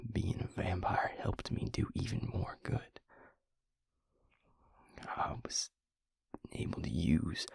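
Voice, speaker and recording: A man playing a weary, guilt-ridden vampire in an intimate audio drama, confiding in a husky, halting near-whisper. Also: low voice, no room sound, full character voice. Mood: brooding, regretful. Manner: slow, halting, brooding confession. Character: weary, guilt-ridden male vampire and former healer, confiding in his mate. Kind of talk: intimate audio roleplay drama, hushed monologue.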